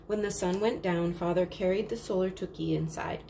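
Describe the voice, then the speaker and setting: A woman reading a children's story aloud in a measured, expressive storytelling manner. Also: lowish voice. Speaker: storybook reader. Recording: clearly noisy background.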